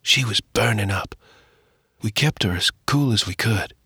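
A man's voice: lowish voice, bright, forceful tone, no echo, clean studio sound, full character voice. A man doing a feverish, fading mother. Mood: weak, scared. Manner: faint, weak, breathy and slow. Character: weak, feverish frontier mother, frightened.

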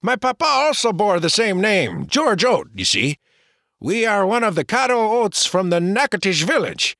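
A man voicing a stuttering excitable young clerk. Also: high-pitched, normal speed, gravelly, dry recording, clean studio sound, voice pushed up, exaggerated voice. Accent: French. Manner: stuttering, chattering. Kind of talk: audiobook character voice.

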